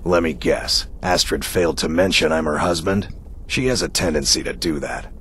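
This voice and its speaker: An actor playing a gruff, surly werewolf assassin in a video game, blunt and scornful in manner. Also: male voice, extremely low pitch, moderate pace, fairly bright tone, slight background noise.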